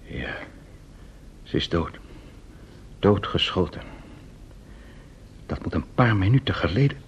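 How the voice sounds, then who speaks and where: A commanding male detective from a radio play. His delivery is clipped and urgent, yet controlled, with very animated pitch. The old recording is dull with some hiss. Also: takes it slowly. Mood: tense, controlled. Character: brisk, commanding male detective, calm under pressure. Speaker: radio drama actor. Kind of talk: Dutch radio play acting.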